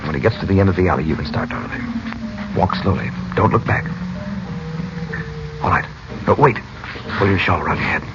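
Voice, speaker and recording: A protective uncle steering his family through danger in a 1940s radio adventure. He talks very quickly in a lowish voice, brisk, commanding and reassuring. The sound is dull vintage radio with music. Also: lively intonation. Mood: calm, reassuring.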